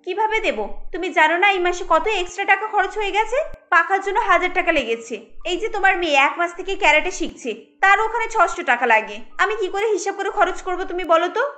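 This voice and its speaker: A very high, sharp voice of an irritable cartoon housewife played by a woman. She complains and scolds like a harried mother defending herself over household troubles. Music plays faintly behind her. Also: expressive, a little fast, heavily acted. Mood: exasperated complaining. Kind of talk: cartoon voice acting.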